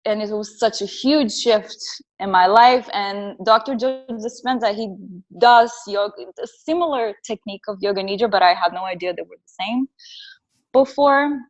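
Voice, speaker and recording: A woman from Brazil with a Brazilian Portuguese accent tells her personal story on a podcast. She talks in an earnest, reflective way, and her voice sits in a mid-range pitch. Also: normal speed, highs cut off. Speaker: podcast guest. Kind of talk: casual interview, personal storytelling.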